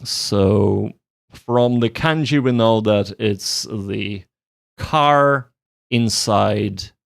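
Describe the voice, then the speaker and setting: Gravelly-voiced man casually streaming, close and dry. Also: fairly low pitch, drawn-out words, dry recording. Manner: self-deprecating, joking, thinking aloud. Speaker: livestream host, language learner. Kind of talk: casual livestream study commentary.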